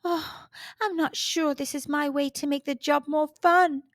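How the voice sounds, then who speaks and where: A woman voicing an eager young male dung beetle in a children's storybook, expressive and exclamatory. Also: sits high, measured pace, no echo, clean studio sound. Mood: doubtful.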